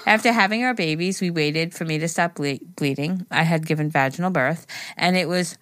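A woman's voice: low-pitched, fairly quick, a bit bright, no room sound. A woman co-hosting a podcast in casual, blunt, informal talk, swearing freely. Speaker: podcast co-host. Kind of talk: casual chat, reading listener emails aloud.

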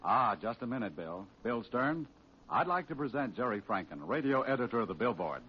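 Lively American announcer on old radio. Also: male voice, medium pitch, brisk, slightly rough. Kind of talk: formal 1940s radio announcing. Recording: dull old radio, faint noise.

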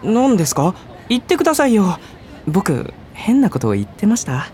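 A woman's voice: mid-range voice, very animated, moderate pace, mellow, a little hiss or hum, lightly acted. A woman playing a young male junior office worker, polite, earnest and oblivious, in a radio drama. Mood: apologetic, puzzled. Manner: polite casual junior speech, eager.

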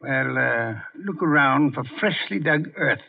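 An actor playing a pompous, brilliant, irritable private detective in a 1950s radio drama, speaking in a deliberate, theatrical way. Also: male voice, mid-range voice, expressive, vocal fry, very noisy. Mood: accusing. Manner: theatrical, deliberate, haughty, grunts and chuckles. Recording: dull old-time radio recording.

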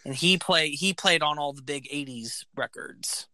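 High-voiced man, bright and dry, eager podcast host reeling off band facts. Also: measured pace, no room sound. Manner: enthusiastic, fact-filled, says "like" often. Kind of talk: casual music chat.

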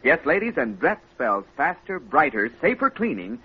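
A man with an American accent giving a scripted 1940s radio advertising pitch as a commercial announcer. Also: very animated, normal speed, slightly rough. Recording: muffled old radio recording with music.

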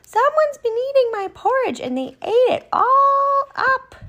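A woman's voice: very high-pitched, sing-song, measured pace, bright, forceful tone, voice pushed up, exaggerated voice. A woman voicing a wee baby boy bear from a children's storybook, crying out indignantly in a small voice. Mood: indignant, upset.

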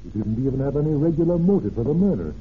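An actor playing a brisk, plain-spoken county sheriff in an old radio crime drama, matter-of-fact and clipped. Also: male voice, medium pitch, very animated, lightly acted. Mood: frustrated, resigned. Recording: tinny, noisy old radio recording.

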